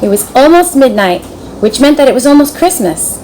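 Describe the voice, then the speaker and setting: Animated woman reading a children's story. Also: high voice, a little fast, slight background noise, rough recording. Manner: dramatic storytelling. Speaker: storybook reader. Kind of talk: expressive scripted children's story narration.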